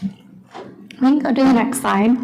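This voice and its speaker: A soft-spoken woman, a planning consultant, giving an upbeat explanatory presentation to a city board. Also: sits high, creaky, a little hiss or hum, low-quality recording.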